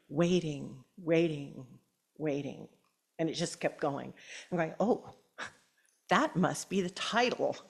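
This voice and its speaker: An older woman telling a personal story at a church gathering and reading her own poem aloud, with gentle laughter as she talks. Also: low-pitched, vocal fry. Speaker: church speaker.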